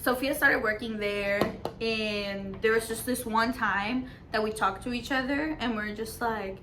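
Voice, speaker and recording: A woman's voice: fairly high pitch, unhurried, noisy background. Young woman rambling through a story. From Mexico. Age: young adult. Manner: rambling, filler-heavy storytelling. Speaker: podcast host. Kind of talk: casual chat.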